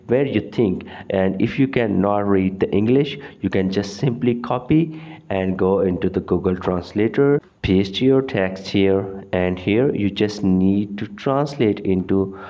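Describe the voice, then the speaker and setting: A man whose first language is Urdu gives a screen-recorded tutorial, halting often with fillers and restarts. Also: on the low side, dark tone, dull audio. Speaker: tutorial host.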